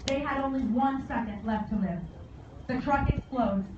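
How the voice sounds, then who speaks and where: Echoey, distant high-voiced woman giving solemn speech. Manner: solemn, measured oratory with deliberate phrasing. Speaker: guest speaker at a memorial service. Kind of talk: formal commemorative speech. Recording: echoey, distant, dull and very noisy.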